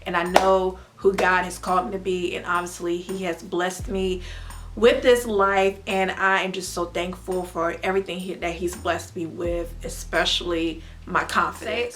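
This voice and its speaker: A young woman from the United States talking casually to her viewers as a mother, earnest and faith-filled, with playful animated bursts. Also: lowish voice, normal speed. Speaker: vlogger and mom. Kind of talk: casual talk to camera.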